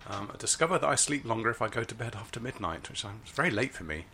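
A man in his fifties co-hosting a podcast, chatting casually and jokily with a husky voice after a late night. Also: mid-range voice, expressive, fast talker, sharp tone. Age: 50s. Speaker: podcast co-host. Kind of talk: casual chat. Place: garden summer house.

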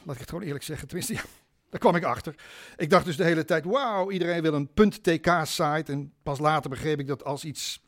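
A man's voice: fairly high pitch, brisk, natural voice. A man playing an eccentric, good-natured uncle back from abroad in a radio drama, rambling and hesitant. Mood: sheepish. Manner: hesitant, rambling, chuckling self-deprecation.

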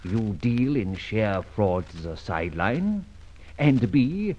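Masked gentleman rogue for vintage radio serial: urbane, mocking, lively intonation, dull old recording. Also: lowish voice, slow pace, exaggerated voice. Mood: smooth menace. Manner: polished, drily ironic, courteous menace. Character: suave gentleman adventurer, masked vigilante, mocking and unruffled.

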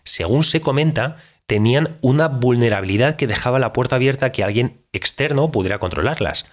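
A man's voice: mid-range voice, animated, a little fast, close to an everyday voice. A man playing a direct, insistent radio interviewer in a scripted audio drama, speaking. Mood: pressing. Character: direct, probing male radio interviewer with no-nonsense questions. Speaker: podcast host interviewing an astronaut. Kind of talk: scripted fictional interview show.

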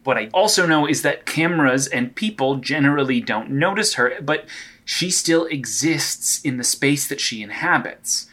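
A man's voice: medium pitch, lively intonation, moderate pace, bright, forceful tone. An actor playing a dry, wisecracking male vigilante detective who dictates case logs. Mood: focused. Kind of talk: audio drama acting.

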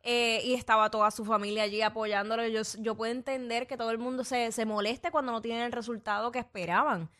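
Puerto Rican woman radio co-host, opinionated, fairly high voice. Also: steady tone, quick pace, few pauses, fairly bright tone. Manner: chatty, opinionated. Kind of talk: casual radio chat.

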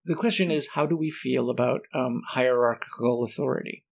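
A man who is a professor and the guest in a conversational interview, speaking hesitantly with many pauses and restarts as he thinks aloud. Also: medium pitch, vocal fry.

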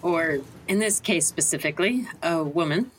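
A woman's voice: lowish voice, expressive, moderate pace, very noisy, close to an everyday voice. An actress playing a suave, playful lady thief in an audio drama, with a poised manner. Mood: playful, assured.

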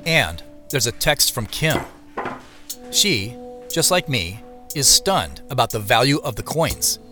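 An actor playing the inner narrating voice of a wary man, giving measured scripted narration in an audio drama. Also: medium pitch, very animated, music in the background. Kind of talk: scripted first-person present-tense narration.

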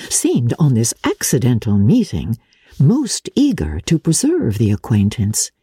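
Husky, low-voiced woman reading with expressive swoops. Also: no room sound, clean studio sound. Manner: measured, expressive, formal storytelling delivery. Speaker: audiobook narrator. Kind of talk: dramatic reading of classic literature.

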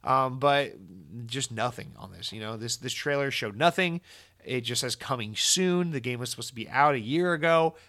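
A young man hosting a gaming podcast, talking in a casual, opinionated way, his voice hoarse and tired, blunt and swearing freely. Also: mid-range voice, lively intonation, normal speed, sharp tone.

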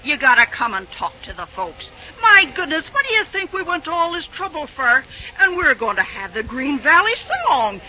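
Vintage radio play: insistent country matron, very sharp, animated scold, muffled recording. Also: female voice, high-pitched, moderate pace, slight background noise, full character voice. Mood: bossy, insistent. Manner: insistent, no-nonsense. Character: bossy, scolding country woman. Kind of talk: old-time radio drama acting. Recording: dull old radio recording.

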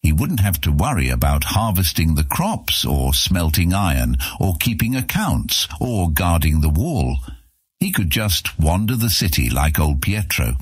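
A man with a deep, husky voice reading scripted fantasy audiobook narration. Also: big pitch swings, a little slow, no room sound, studio quality.

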